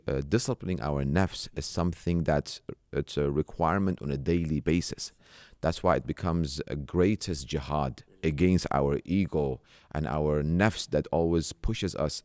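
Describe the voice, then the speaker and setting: A middle-aged man with a low voice, recorded close and dry. He is an Islamic scholar in conversation, explaining through everyday examples and rhetorical questions, dropping in Arabic religious terms. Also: darkish tone, dry recording. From Iraq. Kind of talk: conversational religious teaching in an interview.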